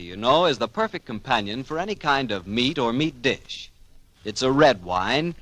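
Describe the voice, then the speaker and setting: A man with an American accent hosting a 1940s radio show, pitching the sponsor in an emphatic, folksy way. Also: a bit bright, no room sound. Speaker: radio announcer and host.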